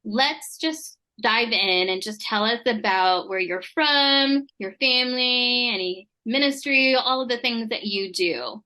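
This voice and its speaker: A woman's voice: on the high side, measured pace, few pauses, pushed and bright. A woman hosting a podcast interview, encouraging and affirming toward her guest. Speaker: podcast host.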